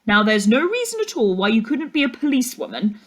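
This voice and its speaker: A woman's voice: on the high side, big pitch swings, a little fast, voice pushed up. Stern, pompous male teacher for a storybook, exasperated and emphatic played by a woman. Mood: dismissive, pompous.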